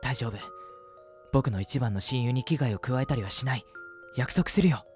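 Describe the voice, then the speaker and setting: A man voicing the gentle, cautious ghost of a sixteen-year-old boy in a radio drama, earnest and reassuring. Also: medium pitch, lively intonation, moderate pace, soft background music, close to an everyday voice. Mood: urgent, reassuring. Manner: soft-spoken, earnest, reassuring.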